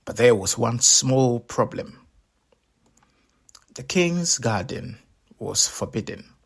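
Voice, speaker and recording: A man narrates a children's story with dramatic, deliberate phrasing. His voice is gravelly and husky, and he takes his time with each phrase. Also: medium pitch, animated. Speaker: storyteller reading aloud.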